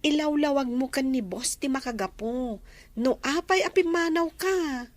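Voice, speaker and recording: Casting: woman playing helpful young waitress friend, high voice, concerned and inquisitive, radio drama. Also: normal speed, no room sound, close to an everyday voice. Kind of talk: Filipino radio drama acting.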